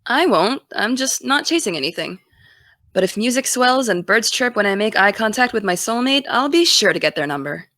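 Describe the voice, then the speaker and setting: A woman's voice: a little high, lively intonation, normal speed, bright, forceful tone, no echo, studio quality. A woman playing a wry, distracted young student. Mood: casual, guarded. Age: young adult. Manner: casual, wry. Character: driven, distracted college-bound young woman, dryly joking. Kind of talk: naturalistic audio drama dialogue.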